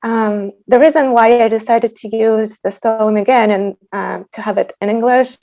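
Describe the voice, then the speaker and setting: A woman who is a native Tatar speaker, giving an informal, reflective introduction as a composer, with halting phrasing, over a video call. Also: a little high, fairly even intonation, warm tone, muffled recording. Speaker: composer introducing her piece. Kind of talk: informal spoken introduction at an online concert.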